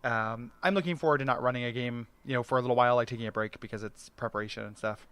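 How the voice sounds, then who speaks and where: A man's voice: mid-range voice, steady tone, rapid-fire, a bit bright, clean tone, dry recording. A man chatting casually as a podcast guest, laughing often and filling with likes and ums. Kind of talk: casual chat. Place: Portland.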